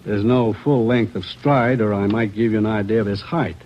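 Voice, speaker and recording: An actor playing a brisk, matter-of-fact crime lab technician in a 1940s radio police drama. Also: male voice, on the low side, natural voice. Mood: businesslike. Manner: businesslike, dry, clipped. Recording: muffled, noisy old radio recording.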